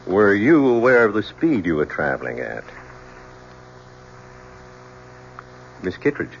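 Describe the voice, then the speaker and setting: An actor in an old-time radio drama playing a stern, formal coroner presiding over an inquest in a deliberate, official manner. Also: male voice, on the low side, very animated, gravelly. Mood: probing. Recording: muffled old radio recording, faint noise.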